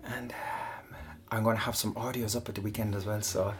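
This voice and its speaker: A man's voice: lowish voice, little pitch movement, brisk. A man talks in loose, rambling bursts while he works through exercises. He breathes hard between phrases and breaks into laughter often. His tone is casual, like he's talking to regular listeners. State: out of breath and sweaty. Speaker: online audio creator. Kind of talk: casual chat while working out.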